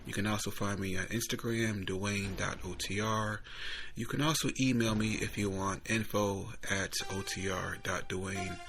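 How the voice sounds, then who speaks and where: A man's voice: lowish voice, steady tone, moderate pace, fairly bright tone, slight background noise. A man hosting a podcast in a casual, plain-spoken sign-off style. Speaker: podcast host. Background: music.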